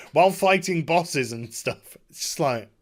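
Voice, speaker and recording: A man with a fairly high voice gives a casual, spoken recap for a gaming video. He is enthusiastic and emphatic, rambling along in halting bursts as he searches for the next phrase. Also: animated.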